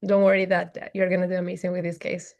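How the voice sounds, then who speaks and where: A woman from Peru, a doctor applying to neurology, presenting a clinical case in a measured, methodical way over a video call. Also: on the low side, monotone, vocal fry, darkish tone.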